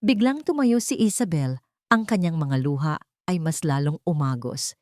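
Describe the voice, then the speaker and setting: A woman storyteller with a slightly low voice delivers scripted romantic drama. Her delivery is measured and theatrical, recorded dry with almost no room sound. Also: animated, a little mellow, no room sound. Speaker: storyteller narrator. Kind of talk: scripted dramatic narration of a Tagalog love story.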